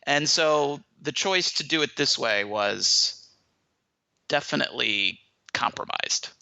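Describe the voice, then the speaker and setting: A man's voice: medium pitch, lively intonation, takes it slowly, gravelly, pushed and bright, dry recording, highs cut off. A man giving a casual film review as a guest critic, conversational and emphatic.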